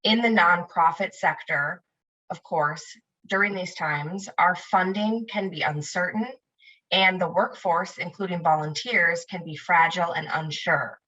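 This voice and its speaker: Bright-voiced woman on a muffled video call. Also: fairly low pitch, measured pace. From United States. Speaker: webinar presenter. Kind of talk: presenting a webinar talk. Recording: dull lo-fi video call audio.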